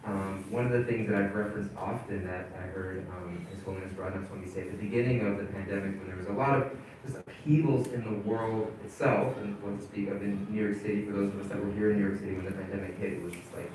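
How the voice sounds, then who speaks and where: A man's voice: on the low side, steady tone, darkish tone, far from the mic, slight background noise. Man speaking in an echoey hall. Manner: halting, with many fillers and restarts. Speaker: community leader presenting at a town hall. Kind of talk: casual spoken presentation.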